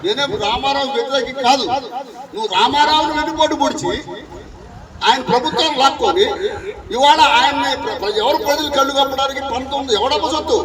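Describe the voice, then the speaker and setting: Male party leader, very high pitched, emphatic rally oratory, recorded outdoors at a distance. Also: fast. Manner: emphatic, repetitive, rhetorical questioning. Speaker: political party district leader. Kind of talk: impassioned outdoor political speech. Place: outdoors at a village gathering. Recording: distant outdoor mic with crowd noise.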